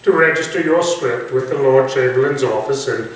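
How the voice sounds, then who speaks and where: A man playing an imperious, disdainful Victorian actor-manager in a staged play reading, pompous, theatrical and deliberate. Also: medium pitch, lightly acted. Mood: haughty. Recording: echoey hall from far off, faint noise.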